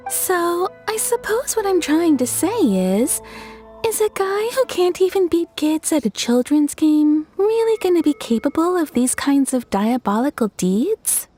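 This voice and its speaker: A woman playing a cheerful, kindly townswoman in a video game, explaining things like an expressive storyteller. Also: extremely high pitch, a little slow, faint music behind. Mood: earnest. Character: cheerful, kindly townswoman telling local anecdotes.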